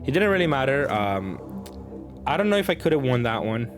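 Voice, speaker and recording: A man's voice: mid-range voice, big pitch swings, fairly quick, music in the background. A man giving casual, off-the-cuff commentary on his own card game matches, thinking aloud and faulting his own plays.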